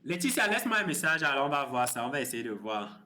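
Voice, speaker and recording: A man in his thirties hosting a crypto live stream, chatting with viewers in a relaxed, teasing way and explaining his course. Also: on the high side, fast talker. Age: 30s. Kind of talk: casual live stream chat.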